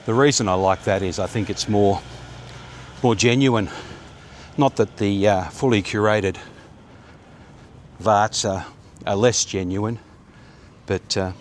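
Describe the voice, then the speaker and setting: A man's voice: lowish voice, fairly even intonation, takes it slowly, pauses often, slight background noise. Rambling middle-aged man walking outdoors. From Australia. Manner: rambling, chatty, with many fillers. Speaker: vlogger. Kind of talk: walk-and-talk vlog. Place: quiet suburban street outdoors, sunny. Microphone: phone or action camera held at arm's length.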